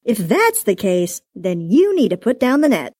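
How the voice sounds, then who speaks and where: A woman voicing a small male dragon with a light, airy yet commanding voice, speaking calmly and sternly in an audiobook. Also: mid-range voice, no room sound, studio quality. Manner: stern, measured, faintly teasing. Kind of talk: audiobook character voice.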